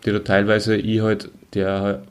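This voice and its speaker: A man's voice: lowish voice, completely flat delivery, unhurried, crowd noise. A middle-aged Austrian man co-hosting a podcast, reminiscing in casual, conversational speech. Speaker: podcast co-host.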